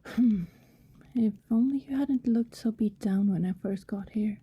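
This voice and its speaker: A woman playing an affectionate, wistful vampire neighbour in an intimate ASMR roleplay, speaking softly and tenderly, often in a hesitant whisper. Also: medium pitch, no echo, dull audio. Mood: wistful, protective. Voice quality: soft, breathy, often whispered. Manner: slow, tender, hesitant murmuring.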